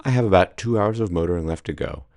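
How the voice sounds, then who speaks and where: A man narrating his solo sailing trip in a dry, deadpan voice-over with wry humor. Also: sits low, fast, heavy background noise. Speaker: solo sailor vlogger.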